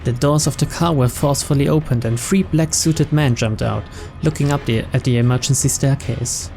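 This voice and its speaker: A man's voice: medium pitch, normal speed, gravelly, a little mellow, faint music behind. A man playing through a visual novel, reading its narration aloud in English as a storyteller. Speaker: video game let's player.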